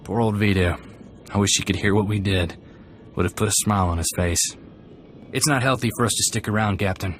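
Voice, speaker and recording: An actor playing an earnest, vengeful companion fighter in a video game, plainspoken and firm. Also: male voice, lowish voice, brisk, soft background music, lightly acted. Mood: disapproving, sober.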